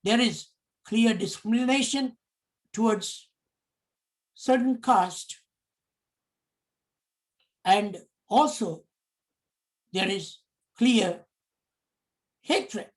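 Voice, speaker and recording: A middle-aged man from Sri Lanka with a Sri Lankan accent, giving a prepared public talk in slow, deliberate phrases. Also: high voice. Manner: slow, deliberate, measured phrasing in short pieces. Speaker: commentator.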